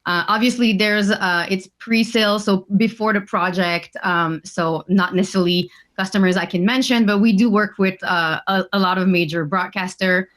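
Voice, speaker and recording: A woman's voice: mid-range voice, fairly even intonation, a bit bright. Hesitant woman on a video call. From French Canada. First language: French. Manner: hesitant, many "uh" and "um" pauses. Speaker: guest. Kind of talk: casual interview answers.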